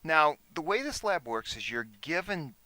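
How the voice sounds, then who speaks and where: Male instructor for screencast tutorial: animated intonation, bright forceful tone, measured explanatory delivery. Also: fairly high pitch. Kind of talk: explanatory software demonstration.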